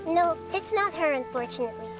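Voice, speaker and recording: A woman voicing a small childlike goddess of wisdom in a video game, calm, measured and gently knowing. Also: sits high. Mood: thoughtful. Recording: music playing behind the voice.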